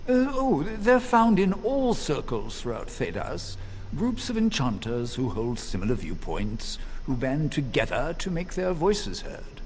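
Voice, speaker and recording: An actor playing a genial, worldly senior mage in a fantasy game, explaining things in a wry, conversational way. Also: male voice, a little high, sing-song, unhurried, a little mellow, slight background noise. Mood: wry, explanatory. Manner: conversational, explanatory, wryly resigned.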